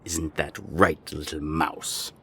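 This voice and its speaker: A man playing a menacing naga gang boss in an audio roleplay, gravelly and deliberate, with cold, taunting threats. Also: level tone, sharp tone, slight background noise, heavily acted. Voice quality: very deep, gravelly. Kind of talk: audio roleplay drama acting.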